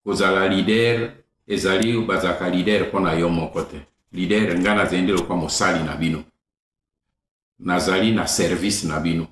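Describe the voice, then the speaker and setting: A man gives a political address in a very deep voice. His statements are declarative. The space is strongly echoey, with faint noise behind. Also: fairly even intonation, normal speed, a bit bright.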